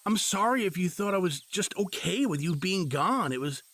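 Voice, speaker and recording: A man's voice: sits high, expressive, moderate pace, a bit bright, full character voice. A man playing an exasperated, sarcastic husband dangling from a tree in an audio drama. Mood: tender, wry. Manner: sarcastic, blustering.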